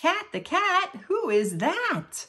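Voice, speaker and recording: A woman reading children's picture books aloud in an expressive storytelling style. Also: very high-pitched, takes it slowly. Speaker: children's book reader.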